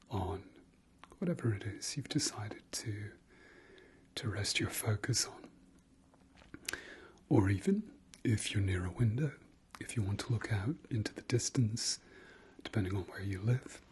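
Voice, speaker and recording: A man leading an ASMR guided meditation in a soft, breathy whisper, gentle and halting, with pauses and trailing repeats. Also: lowish voice.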